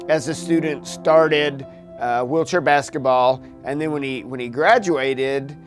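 A man in his sixties, a wheelchair basketball coach, telling the program's story steadily in a documentary interview. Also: mid-range voice. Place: gym office in front of a team banner.

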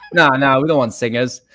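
A man's voice: medium pitch, pushed and bright. A middle-aged man chatting as a guest over a video call, joking and chuckling. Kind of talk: casual video call chat.

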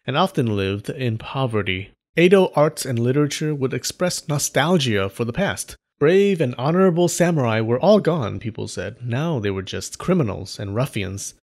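Guy narrating folktales for his channel, kinda wry n casual but dramatic, mid pitched voice. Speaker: storyteller and host of an animated folktale channel. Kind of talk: scripted narration with casual humorous asides.

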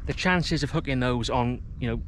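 A man's voice: mid-range voice, moderate pace, slight background noise. A man in his thirties chatting casually to camera while fishing outdoors on a rocky seawall, commenting on the fish and his bait. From Wales. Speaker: fishing vlogger. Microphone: camera on a tripod at a short distance.

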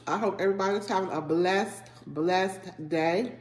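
A woman's voice: lowish voice, moderate pace. A woman in her forties with a head cold, chatting casually to viewers in an eating video, humming as she eats. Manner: relaxed, chatty, savoring food with hums while eating. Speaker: mukbang vlogger. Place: living room, indoors. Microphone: fixed camera at table. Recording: echoey room with faint noise.

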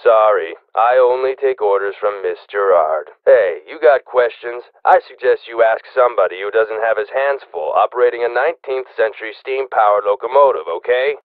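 An actor playing a gruff, stubborn, impatient train engineer in a video game, heard through a radio intercom filter. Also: male voice, lowish voice, moderate pace, hardly any pauses, slight background noise. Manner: brusque, impatient, folksy. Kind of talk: video game character acting. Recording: tinny narrow-band radio intercom.

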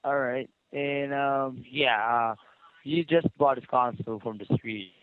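A man working as a customer support agent, speaking politely and haltingly on a phone call. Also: medium pitch, steady tone, dry recording. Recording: muffled narrowband phone line.

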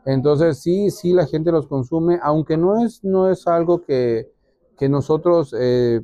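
A man in his forties speaks with a mellow tone at a middling pitch. He explains things as an interviewed expert, sometimes restarting, on a dry, close recording. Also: dry recording, highs cut off. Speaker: biologist, interviewee. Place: small indoor exhibition room. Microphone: clip-on lapel mic.

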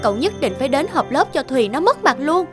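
Gossipy, spiteful young classmate. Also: female voice, high voice, big pitch swings, quick pace. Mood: goading. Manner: gossipy, goading. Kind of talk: cartoon voice acting. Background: background music.

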